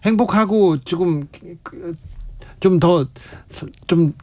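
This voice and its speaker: A male radio host asks brisk questions and keeps nodding along with quick short replies. His voice sits fairly high for a man. The studio sound is dry and close. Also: dark tone, no room sound. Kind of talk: radio interview.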